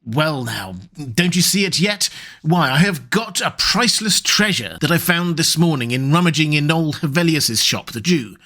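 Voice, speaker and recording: A man voicing an excitable elderly male professor, gushing with slow emphatic exclamations over a rare book. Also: fairly high pitch. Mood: delighted.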